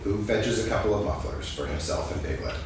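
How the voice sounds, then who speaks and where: A man's voice: on the low side, lively intonation, a little fast, a little mellow, close to an everyday voice. A man acting as storybook narrator, reading scripted stage directions in a staged reading. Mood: narrating. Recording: echoey, noisy distant stage recording.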